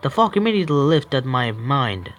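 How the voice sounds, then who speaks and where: A man with an Indian English accent, a gaming streamer reading a horror visual novel aloud, with halting restarts. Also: medium pitch, big pitch swings, fairly bright tone, a little hiss or hum. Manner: halting, stumbling read with restarts.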